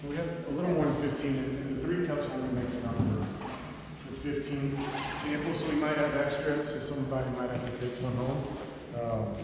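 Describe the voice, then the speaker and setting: A man's voice: medium pitch, moderate pace, hardly any pauses. A young man giving an informal cooking demonstration as the chef and instructor of a class. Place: large skylit hall with small seated audience. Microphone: far camera. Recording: echoey hall, distant mic, noisy.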